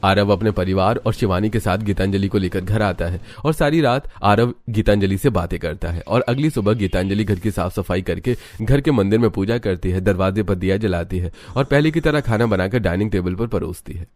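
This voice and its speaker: A man's voice: lowish voice, a little fast, few pauses, a little mellow. A man reading scripted narration for a story. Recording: music under the voice. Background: background music.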